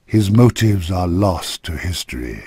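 A man's voice: deep voice, expressive, a little slow, warm tone, husky, no room sound. A man narrating a fantasy game from a script in a solemn, formal storytelling manner, close on a studio microphone.

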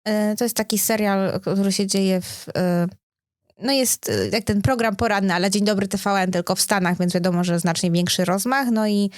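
A woman's voice: mid-range voice, fairly even intonation, normal speed, no echo, studio quality. A woman in her thirties co-hosting a podcast, chatting casually and colloquially, often interrupting herself as she goes. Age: 30s. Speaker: podcast co-host. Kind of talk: casual unscripted chat about series and films.

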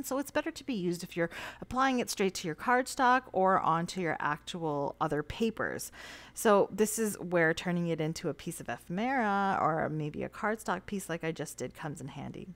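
Low-voiced woman narrating a craft tutorial. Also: dry recording. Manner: relaxed, chatty explaining with fillers. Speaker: cardmaking tutorial host.

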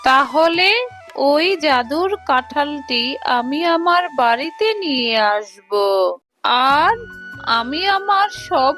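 A high-voiced, scheming cartoon tigress aunt acted by a woman. She speaks slowly and suspiciously, ready to accuse, with faint music behind her. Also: sharp tone, heavily acted. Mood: greedy, scheming. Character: greedy, suspicious tigress aunt, mother of a cub. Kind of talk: Bengali children's cartoon dialogue.

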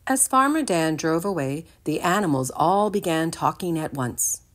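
An older woman giving an expressive read-aloud storytime for young children, in a deliberate, animated manner. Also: low-pitched. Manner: deliberate, animated, addresses the listeners with questions.

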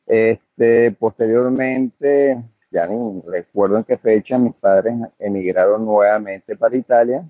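A man's voice: lowish voice, slow pace, dry recording, narrow, tinny audio. An older man, a hotel owner answering interview questions in a hesitant way, full of fillers and restarts.

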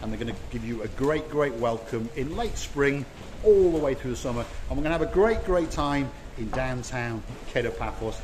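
Man, 50s, upbeat travel vlogger, phone mic at arm's length, outdoor harbour, soft street noise. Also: mid-range voice. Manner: enthusiastic, chatty presenter to camera. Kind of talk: walking travel vlog. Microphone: phone held at arm's length.

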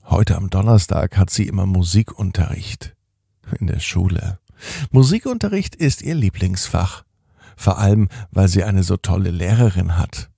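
A middle-aged man hosting a children's podcast, telling a bedtime story in a slow, soothing, gently animated way. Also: low voice, vocal fry, breathy edge, no room sound, studio quality.

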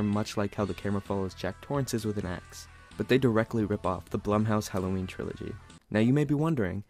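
A man's voice: fairly low pitch, a little mellow, no echo. A man giving a scripted, sarcastic movie review, talking in an exasperated, critical way.

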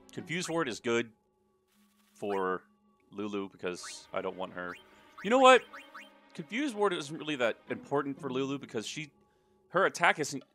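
A man's voice: medium pitch, pushed and bright. A man hosting a let's play, giving casual, rambling commentary with jokes and swearing.

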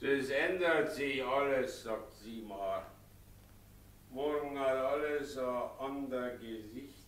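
An actor playing an ageing, good-natured but broken carter in a 1960s German radio drama, halting and brooding. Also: male voice, medium pitch, a bit bright. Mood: weary, subdued. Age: middle-aged. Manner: halting, brooding, heavy delivery.

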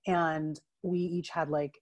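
A woman's voice: sits low, little pitch movement, takes it slowly. Chatty woman on earbud mic. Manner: chatty, laughs often, many fillers and restarts. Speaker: interview guest, writer. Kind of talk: casual video call conversation. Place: home room with bookshelves. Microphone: wired earbuds on a video call.

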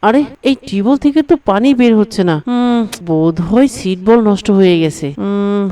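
A woman voices a dutiful little boy in an Islamic moral cartoon. He is earnest and devoted, often reasoning aloud to himself, with a mid-pitched childlike delivery. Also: normal speed, dark tone, clean tone, natural voice. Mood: thoughtful. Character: dutiful young boy devoted to his sick mother.

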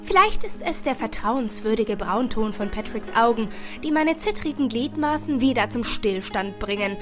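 High-voiced woman narrating a story over music. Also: expressive, normal speed. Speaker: audiobook narrator. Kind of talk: expressive first-person story narration. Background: background music.

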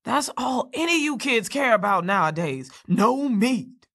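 A woman's voice: fairly high pitch, big pitch swings, unhurried, fairly bright tone, full character voice. A woman playing a brash, foul-mouthed, tough American character in an audio play, with salty, combative talk. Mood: fussing. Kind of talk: staged audio play dialogue.

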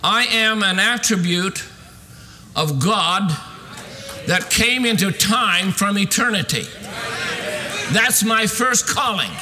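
Male preacher, 60s, very high forceful voice, emphatic sermon delivery, recorded in a reverberant hall. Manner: emphatic, declamatory delivery with drawn-out stressed phrases. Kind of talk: fervent sermon. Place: large church sanctuary, at a pulpit. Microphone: podium microphone.

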